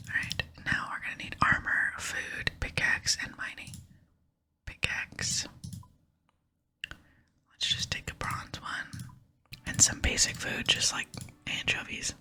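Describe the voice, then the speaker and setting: A woman doing a whispered ASMR game playthrough, speaking softly in a breathy voice and reading the on-screen dialogue aloud. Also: slow pace, long pauses. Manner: soft-spoken. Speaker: ASMR gamer and host.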